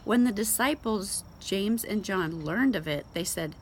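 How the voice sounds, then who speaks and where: A woman's voice: medium pitch, expressive, unhurried, slight background noise. A woman giving an informal devotional talk in a conversational, emphatic way, as host of a Bible reading show. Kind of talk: casual devotional talk with scripture read aloud.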